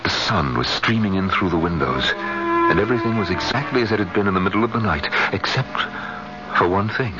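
An actor playing an anxious, jealous middle-aged husband in a 1970s radio drama, with dramatic, intense delivery. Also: male voice, low voice, expressive, a little fast, lo-fi sound, over loud music. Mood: reflective narration. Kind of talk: 1970s radio drama acting and first-person narration. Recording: band-limited 1970s radio recording.

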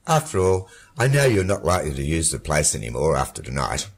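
An actor playing a cynical dead husband who mocks his widow in a warm, controlled, lilting voice. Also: rumbling low, sing-song, brisk, breathy edge, exaggerated voice. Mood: mocking. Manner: sardonic, taunting. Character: mocking dead husband, middle-aged man, cynical.

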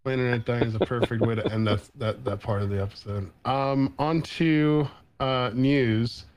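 A man's voice: medium pitch, steady tone, moderate pace, darkish tone. A man hosting a casual sports podcast over an online call, rambling with many ums. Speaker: podcast host.

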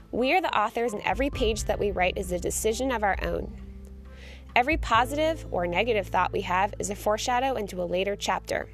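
A woman in her thirties hosting a podcast, hyponasal and congested from a cold, chatty and self-mocking with lots of fillers. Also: mid-range voice. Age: 30s. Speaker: podcast host. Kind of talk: casual chat.

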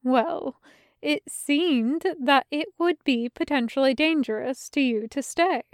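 Woman as bookish male angel, raised pitch, dry close sound, polite, fussy, measured, precise, audio drama. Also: no echo. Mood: musing, reasonable. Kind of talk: audio fiction acting.

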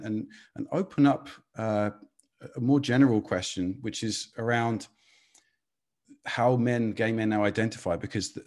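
A man's voice: fairly low pitch, a little mellow. A man co-hosting a podcast, asking questions in a curious, thoughtful way. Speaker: podcast co-host. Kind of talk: casual interview talk.

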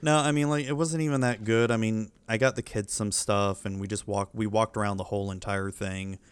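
A man's voice: on the low side, fairly even intonation, fairly quick, smooth, dry recording. A man in his thirties, a podcast co-host with kids, chatting casually and telling rambling, profane stories. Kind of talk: casual chat.

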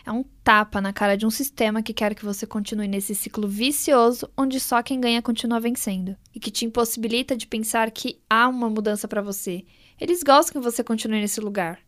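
A woman delivering a forceful scripted dramatic monologue as a podcast narrator. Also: fairly high pitch, a little fast. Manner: declamatory and forceful.

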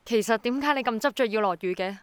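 A woman acting a practical young Hongkonger in a Cantonese radio drama. Her voice is crisp, fairly high and recorded very dry. She has a Hong Kong accent and sounds questioning, matter-of-fact and easily bewildered. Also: fast talker, dry recording, lightly acted. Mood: sceptical, practical.

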